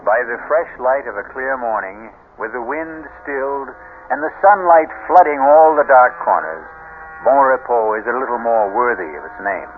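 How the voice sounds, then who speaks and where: A man hosting and narrating a scripted radio mystery in a measured, wry, theatrical way. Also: mid-range voice, expressive, a little hiss or hum. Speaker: host and narrator. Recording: tinny old radio broadcast. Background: music.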